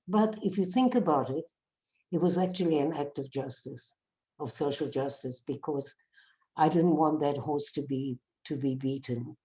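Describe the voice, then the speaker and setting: Older South African woman, low mellow voice, chatty storyteller on a video call. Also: poor audio. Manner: conversational, repeats phrases while telling anecdotes. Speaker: guest speaker. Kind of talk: storytelling talk to a class.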